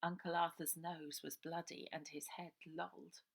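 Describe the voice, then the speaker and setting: A woman's voice: low voice, level tone, normal speed, gravelly. A woman reading her children's novel aloud as a dramatic storybook narration.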